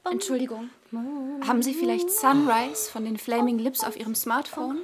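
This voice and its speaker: A woman's voice: a little high, normal speed, very noisy, natural voice. A young woman playing a polite, yearning waiting-room character in radio play dialogue. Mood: pleading. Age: young adult.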